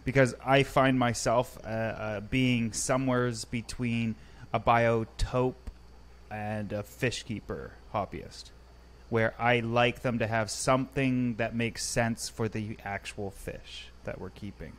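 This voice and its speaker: A man in his thirties hosts a casual podcast from a studio lined with fish tanks. He speaks into a boom mic in an easygoing, joking way. His talk wanders a little as he sets up questions. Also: steady tone, takes it slowly. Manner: chatty, joking, slightly rambling. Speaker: podcast host. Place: home studio with aquariums, indoors. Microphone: boom mic with headphones.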